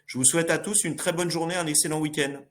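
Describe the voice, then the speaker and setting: A man's voice: on the high side, fairly even intonation, quick pace, a bit bright. A man hosting a business webinar, speaking politely and formally, with frequent fillers as he wraps up. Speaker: webinar host.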